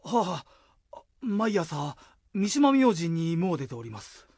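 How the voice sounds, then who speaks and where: Man playing a husky, dutiful samurai retainer. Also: on the high side, sing-song, slow, a little mellow, dry recording, full character voice. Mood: deferential. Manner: deferential, formal archaic warrior speech. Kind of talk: Japanese period radio drama.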